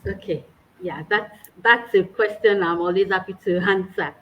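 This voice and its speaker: A woman's voice: medium pitch, normal speed, lo-fi sound, slight background noise. Woman telling her story earnestly. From Nigeria. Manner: earnest, warm storytelling with small laughs. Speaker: guest. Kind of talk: personal story in casual chat.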